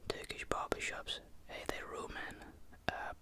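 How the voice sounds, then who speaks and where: A teenage man, whispering a rambling, casual chat into a close studio mic in a small bedroom. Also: measured pace. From Netherlands. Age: teens. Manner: casual, rambling, with many fillers. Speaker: ASMR YouTuber. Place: small bedroom with a sloped ceiling. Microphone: large studio mic close on the desk.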